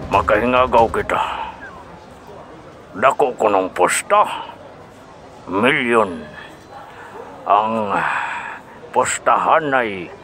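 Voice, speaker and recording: A grim, high-stakes gambling general, acted by a man in an audio drama. He has a fairly deep voice and speaks slowly, with weighty dramatic delivery under clear music. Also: bright, forceful tone, exaggerated voice. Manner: deliberate, dramatic.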